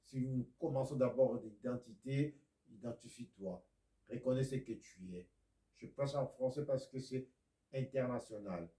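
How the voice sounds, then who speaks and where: A man from Congo preaches slowly in a mellow, darker voice, a Lingala and Kikongo speaker who rises to loud declaiming and drops to near-whispers. His words ring out in an echoey room. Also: mid-range voice. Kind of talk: video sermon.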